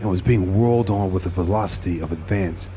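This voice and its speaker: A man with a deep, low voice narrates a dark tale in a dramatic, scripted style. Clear ambient noise runs behind his reading. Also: fairly quick.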